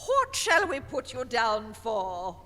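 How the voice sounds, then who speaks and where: Radio-play charity lady and narrator female actor: measured, animated, earnest, hall reverb. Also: on the high side, full character voice. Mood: earnest appeal. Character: genteel, earnest female charity collector and storyteller. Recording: echoey hall stage recording.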